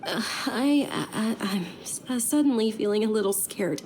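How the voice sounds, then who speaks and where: Gentle, timid actress as a young noblewoman. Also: fairly high pitch, expressive, normal speed, slight background noise, lightly acted. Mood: nervous. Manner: hesitant, polite, soft-hearted. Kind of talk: video game character dialogue.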